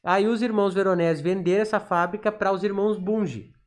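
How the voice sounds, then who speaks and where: A man's voice: high voice, steady tone. A man with a southern Brazilian accent from Rio Grande do Sul, explaining informally as a podcast guest and often repeating words as he searches his memory. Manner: halting, repeats words while recalling.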